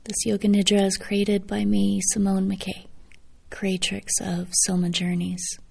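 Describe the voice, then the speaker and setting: Woman, guided yoga nidra, very unhurried, dark soft tone, breathy, hushed, long pauses. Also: medium pitch, monotone. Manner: gentle, unhurried, with long pauses between phrases. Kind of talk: guided meditation, scripted and soothing.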